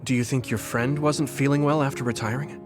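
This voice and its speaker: A man's voice: mid-range voice, steady tone, a little fast, over music, lightly acted. A man playing an earnest, caring young military recruit in a video game, sincere and supportive. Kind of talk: English video game voice acting.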